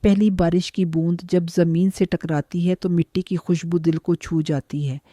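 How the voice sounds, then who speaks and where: A man's voice: on the high side, level tone, moderate pace, mellow, no room sound, clean studio sound. A man reading a scripted, moody horror introduction as narrator.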